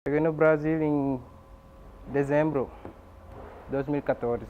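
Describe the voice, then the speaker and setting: A man's voice: fairly high pitch, steady tone, lo-fi sound, a little hiss or hum. Immigrant man, native French speaker. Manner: halting, searching for words in a learned language. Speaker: immigrant interviewee. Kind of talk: interview testimony.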